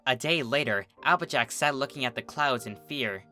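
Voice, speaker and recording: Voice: mid-range voice, normal speed, pushed and bright. A narrator reading a scripted fan fiction story in a dramatic storytelling style, with music behind.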